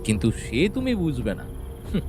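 A man's voice: mid-range voice, wildly swooping pitch, normal speed. A man playing a principled, unafraid schoolmaster in an audio horror drama, firm and scornful. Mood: firm defiance.

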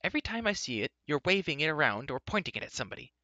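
A man's voice: on the high side, big pitch swings, rapid-fire, pushed and bright, no room sound, lo-fi sound, voice pushed up, full character voice. A man voicing a headstrong young princess in a story, chattering on in a breezy, insistent, argumentative way. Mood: indignant.

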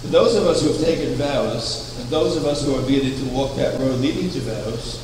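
An older man preaching a sermon from a pulpit, measured and anecdotal, asking rhetorical questions. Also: medium pitch. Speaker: preacher, founder of a religious order. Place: chapel, at a wooden pulpit. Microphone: far camera. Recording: echoey chapel from far off, air conditioning hum.

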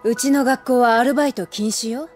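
A woman's voice: a little high, steady tone, a little fast, darkish tone, faint music behind, close to an everyday voice. Woman playing a pushy, scheming schoolgirl reporter. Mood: sly, insinuating. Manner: insinuating, coaxing. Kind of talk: Japanese anime audio drama acting.

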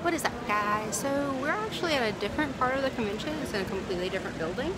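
Young american woman gushing in a vlog, high voice, really loud background din. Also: very fast, very noisy. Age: young adult. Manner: excited, gushing. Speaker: vlogger's wife and companion. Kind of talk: casual vlog chat. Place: large busy convention hall. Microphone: phone at arm's length. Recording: loud crowd din, handheld phone.

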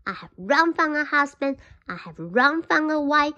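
A woman's voice: fairly high pitch, animated, a little slow, sharp tone, muffled recording, exaggerated voice. A woman voicing a boastful little gingerbread man in a children's storybook, chanting taunts in a sing-song way. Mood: taunting.

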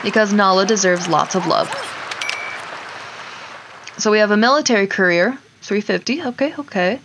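A woman's voice: medium pitch, moderate pace, a bit bright. A woman giving casual, informal video game commentary, chatting to herself and to the characters as she plays. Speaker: gaming streamer. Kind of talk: casual Let's Play commentary of a life simulation game. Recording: clear background noise, mouse clicks.

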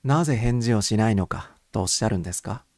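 A man voicing a reserved, nervous young gentleman who speaks formally and with restraint in an audiobook story. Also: fairly low pitch, lively intonation, fast, no echo, studio quality. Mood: tense, defensive. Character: taciturn, nervous young man under suspicion.

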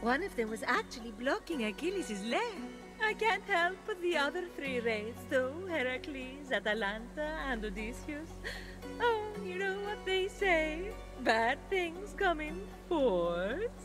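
A woman playing a gentle, courteous goddess turned talking tree in a video game, with a gracious, dainty manner. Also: high-pitched, big pitch swings, a little slow, music in the background, low-quality recording. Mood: serene, gracious. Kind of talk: video game cutscene acting.

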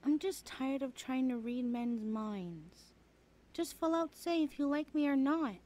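A woman's voice: sits high, expressive, a little slow, warm tone. A woman playing a chatty, teasing young adult best friend in a casual, hesitant ASMR roleplay. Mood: whiny, indignant. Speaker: voice actor in audio roleplay. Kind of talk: ASMR roleplay, chatty one-sided conversation.

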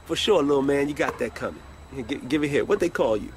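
An actor playing a streetwise hip-hop label boss in a video game, slangy, preachy and persuasive. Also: male voice, mid-range voice, lively intonation, fast, slight background noise, natural voice. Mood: easygoing, paternal. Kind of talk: video game cutscene acting.

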